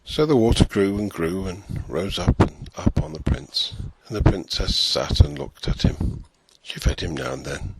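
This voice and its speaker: A man reading a fairy tale aloud as an expressive storyteller. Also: deep voice, a little slow, creaky, heavy background noise.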